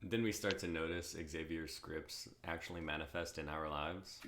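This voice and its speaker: A man's voice: extremely low pitch, fairly even intonation, moderate pace, fairly bright tone. A man in his twenties co-hosting a livestream in casual chat, with some noise on his recording. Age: 20s. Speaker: co-host on a group livestream. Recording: clear background noise, clicks.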